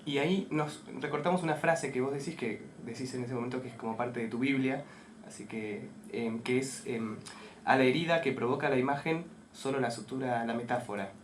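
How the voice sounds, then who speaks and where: A man with an Argentine accent, asking a prepared interview question in an informal, halting way. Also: mid-range voice. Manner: tentative, halting. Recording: noisy live room.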